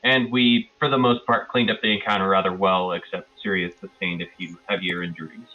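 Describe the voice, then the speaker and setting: A man's voice: low voice, fairly even intonation, a little fast. A man who plays in a tabletop roleplaying game, giving a casual, hesitant recap from memory on slightly dull audio. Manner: hesitant, loosely recalling, hedging.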